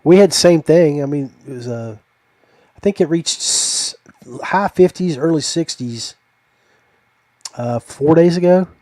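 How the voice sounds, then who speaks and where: A man's voice: on the high side, a little slow, pauses often, a little mellow, no echo. An American man hosting a podcast in a laid-back, conversational way. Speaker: podcast host. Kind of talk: casual chat.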